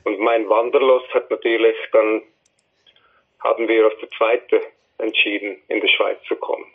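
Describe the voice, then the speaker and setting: Husky man on a tinny phone line. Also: fairly low pitch, flat delivery. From India. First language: Hindi, English. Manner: halting, with restarts. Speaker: musician guest. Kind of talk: interview over the phone.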